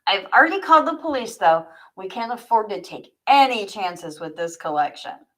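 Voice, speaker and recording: A woman reading aloud the part of a calm, cautious, puzzled man in charge in a mystery novel. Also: medium pitch, moderate pace, lightly acted. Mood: puzzled, concerned.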